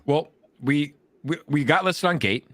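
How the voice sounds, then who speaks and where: A man hosting a casual crypto livestream, excitable and jokey, talking straight to his viewers. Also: a little high, a little slow. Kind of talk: casual chat.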